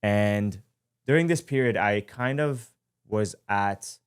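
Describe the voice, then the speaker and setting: A man in his twenties from Iran, telling his life story in a relaxed, reflective way as host of his own show, often pausing and correcting himself. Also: lowish voice, clean tone, dry recording, clean studio sound. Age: 20s. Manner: reflective, with frequent fillers and self-corrections. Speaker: podcast host. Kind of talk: casual personal storytelling. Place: studio.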